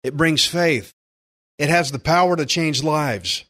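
A man's voice: on the high side, a little slow, fairly bright tone. A man preaching a conversational Bible-teaching sermon as a pastor, plainspoken and emphatic, repeating phrases for stress.

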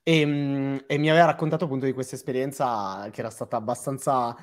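A man's voice: mid-range voice, steady tone, quick pace. A man hosting a casual live interview, talking in a hesitant, self-deprecating, jokey way. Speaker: host.

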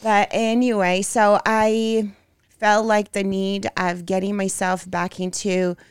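A woman from Brazil with a Brazilian accent, a guest in a casual interview, talking in an earnest, unhurried way that turns spirited. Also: medium pitch, little pitch movement, clear, resonant tone, no echo, studio quality. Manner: earnest and unhurried, turning spirited about soccer. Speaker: guest, pro figure competitor.

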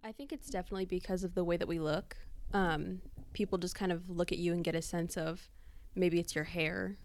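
Young American female, vocal fry, flat pitch range, candid interview guest. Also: fairly quick. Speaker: podcast guest. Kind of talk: candid personal account.

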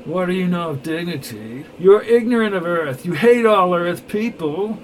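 A man's voice: on the high side, unhurried, fairly bright tone, slight background noise, rough recording. A man voicing an elderly, jolly male inspector who answers with defiant, scornful retorts. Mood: accusing.

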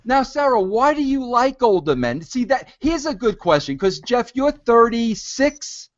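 Brief: man in his fifties, bossy executive, swooping animated delivery, muffled lo-fi sound. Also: very high-pitched, unhurried. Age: 50s. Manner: teasing, pushy, interrupts. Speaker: network executive. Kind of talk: bossy coaching of interviewers.

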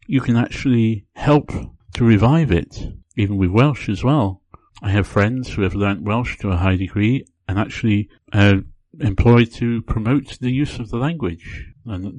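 A man hosting his own podcast, talking casually on his own in an unhurried way and often repeating words as he gathers his thoughts. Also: lowish voice, a little mellow, dry recording, low-quality recording. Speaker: podcast host. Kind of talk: casual solo podcast talk.

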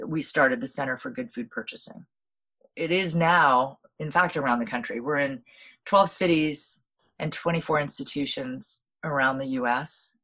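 Very low-voiced woman telling her story. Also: fairly bright tone, slightly rough, low-quality recording. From Hawaii. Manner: thoughtful, explanatory, with many ums and restarts. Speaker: guest, food policy founder. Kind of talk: interview storytelling.

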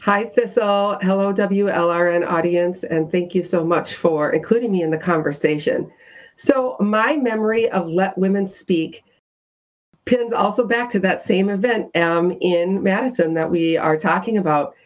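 A woman with a loud voice, a guest activist telling her story in a casual radio discussion, with many restarts. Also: mid-range voice, moderate pace, muffled recording.

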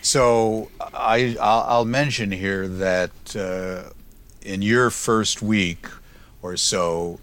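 A middle-aged man hosting an academic podcast interview, reading a measured introduction and then asking conversational questions. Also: fairly low pitch, steady tone, fairly bright tone. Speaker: podcast host, professor.

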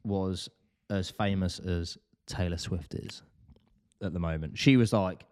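Wry man, deep voice. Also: unhurried, darkish tone. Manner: wry, chatty, laughs often. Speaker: podcast co-host. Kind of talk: casual podcast chat.

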